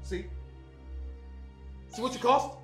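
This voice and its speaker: An actor with a Nigerian accent playing a hot-tempered, domineering young house owner in a film drama, scornful and haranguing. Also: male voice, very high voice, takes it slowly, faint music behind. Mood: furious.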